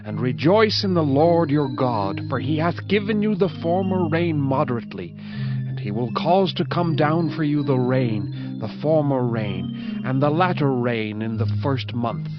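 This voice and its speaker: A male audiobook narrator delivers scripture in a solemn, dramatic style. His medium-pitched voice moves in measured, declamatory phrases. The recording is somewhat dull, and loud music plays underneath. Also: animated.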